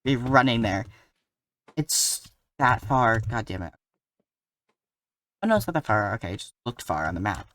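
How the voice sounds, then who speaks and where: A streamer reacting live to a game, excitable and swearing while talking to the chat. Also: mid-range voice, normal speed, pauses often, recorded from a distance.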